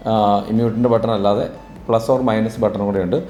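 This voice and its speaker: A man gives a product review at a quick pace. His voice is soft-edged and mellow, and he explains step by step, restating points as he goes. Faint music plays behind him. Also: lowish voice, flat delivery. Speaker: product reviewer for a camera store. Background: background music.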